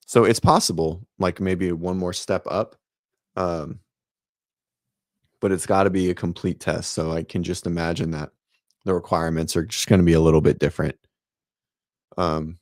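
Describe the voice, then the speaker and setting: A man with a raspy voice hosting a casual fitness livestream from his home office, rambling with many ums and restarts. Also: deep voice, darkish tone, no echo, studio quality. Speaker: host of a fitness livestream show. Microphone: set-up studio mic.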